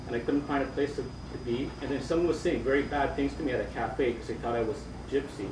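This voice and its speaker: A man in the audience telling a travel story in halting, restarting speech. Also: mid-range voice. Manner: halting, many restarts, laughs often. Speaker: audience member. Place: bookstore event room. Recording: echoey room, distant mic, background noise.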